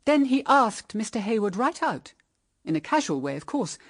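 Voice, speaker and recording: A woman's voice: on the high side, big pitch swings, normal speed, no echo, studio quality. An actress playing a stern, moralising middle-aged English mother in a period radio drama, with formal, emphatic diction. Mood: grave recounting.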